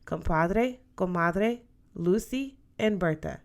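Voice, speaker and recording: A woman's voice: lowish voice, animated, slow pace. A woman voicing an older godmother aunt in an audiobook, firm, affectionate and dutiful, counselling emphatically. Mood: solemn, emphatic. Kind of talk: audiobook character voice.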